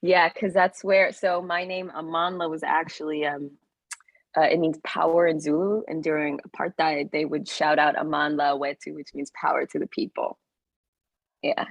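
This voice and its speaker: A young woman with a low, husky voice, talking freely as an interview guest. Her sentences wander and restart, and she laughs easily. The sound is close and dry. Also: normal speed, no room sound. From Kenyan-American, raised in the US, Canada and South Africa. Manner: animated, laughs often, talks in loose run-on phrases. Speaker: guest, actor and writer. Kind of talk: casual interview chat.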